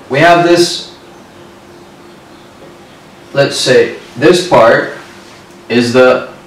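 A man in his twenties giving a whiteboard lecture on histology, speaking slowly and repeating key terms. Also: medium pitch, slight background noise. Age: 20s. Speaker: medical lecturer. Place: indoor room at a whiteboard. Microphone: far camera. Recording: very echoey room, distant mic.

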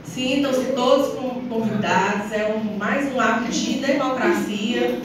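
A woman with a northeastern Brazilian accent giving a semi-formal, somewhat halting public speech as a town councillor. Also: on the high side. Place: small council chamber, indoors. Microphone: phone or camera several metres away. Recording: distant, very echoey, noisy room recording.

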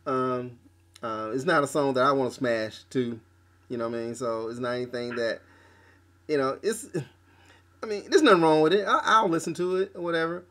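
A man hosting a casual album review podcast, talking haltingly with lots of fillers and restarts. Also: mid-range voice, fairly bright tone.

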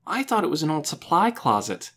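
Woman doing serious young boy in a kids audiobook, soft n hesitant, kinda doubtful, thinking it over. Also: low-pitched, very animated, fairly quick, breathy edge, voice pushed up, heavily acted. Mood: doubtful, musing. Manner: hesitant, soft-spoken, murmuring. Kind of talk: children's audiobook character dialogue.

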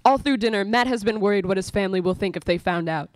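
A woman's voice: medium pitch, fast, no room sound. A young adult woman giving scripted narration for a live radio play. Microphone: stand microphone.

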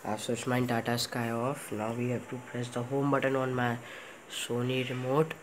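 A man with an Indian accent giving an unscripted step-by-step tech demonstration in a halting, repetitive way. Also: mid-range voice, little pitch movement, noisy background. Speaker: tech tutorial presenter. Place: indoor room in a home, in front of a TV.

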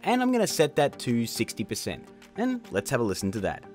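A man hosting a casual software tutorial in a chatty, jokey way. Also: medium pitch, huge pitch swings, a little fast, faint music behind. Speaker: tutorial host. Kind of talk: casual screen-recorded tutorial narration.

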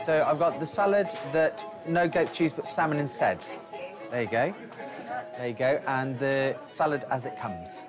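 A man's voice: medium pitch, normal speed, a crowd in the background. A man who owns a pub, giving short practical instructions during service. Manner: practical, brief. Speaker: pub owner. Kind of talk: casual talk during service. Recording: music bed underneath.

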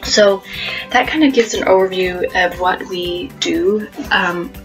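A woman in her thirties talks casually to camera, explaining things in a hesitant way with thoughtful pauses. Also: fairly low pitch, soft background music, low-quality recording. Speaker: homeschooling parent and video host. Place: small plain room at home, indoors.

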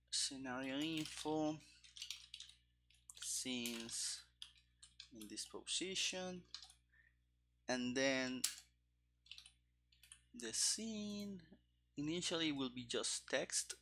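Slow, bright-voiced man coding aloud. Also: mid-range voice, lively intonation, slight background noise. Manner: halting, thinking aloud. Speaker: programmer live-streaming game development. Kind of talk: casual live coding commentary. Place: small room indoors at a desk. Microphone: headset. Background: keyboard typing and clicking.

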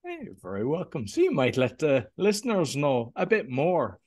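A man's voice: fairly high pitch, huge pitch swings, moderate pace, a little mellow. A man hosting a podcast interview over a video call with a headset, asking loose, hesitant questions. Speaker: podcast host. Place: home office with bookshelves, indoors.

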